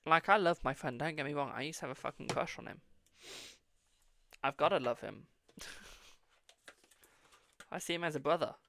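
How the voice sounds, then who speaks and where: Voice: mid-range voice, moderate pace, vocal fry, pauses often, fairly bright tone, slight background noise. A tarot reader from England with a posh-sounding English accent, hosting a live stream, chatting casually, swearing freely and calling viewers "my darling". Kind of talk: live-stream card reading and casual chat.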